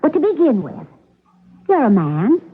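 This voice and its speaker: A woman's voice: sits high, huge pitch swings, measured pace, slightly rough, exaggerated voice. A woman playing a brash, vain, self-assured young actress under suspicion in a radio mystery. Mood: boastful, scheming. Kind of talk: radio mystery drama acting. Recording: muffled lo-fi old radio broadcast.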